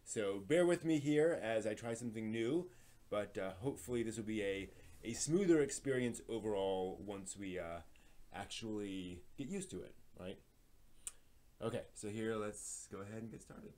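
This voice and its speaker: A man hosting a live stream, reading entertainment news in an informal, somewhat halting way with casual asides. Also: fairly low pitch, lively intonation, a little mellow. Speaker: live stream host presenting anime news.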